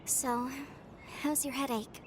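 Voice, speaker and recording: An actress playing a breezy, caring young sister in a video game, casual and affectionately chiding. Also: female voice, sits high, fairly even intonation, slow pace, noisy background. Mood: caring, concerned. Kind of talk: dramatic game voice acting.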